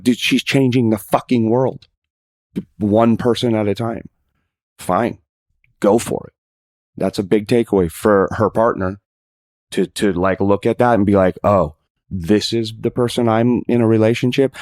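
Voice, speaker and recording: A man's voice: fairly low pitch, darkish tone, no echo, studio quality. A man talking as a podcast guest, casual, earnest and emphatic, repeating himself to stress his point.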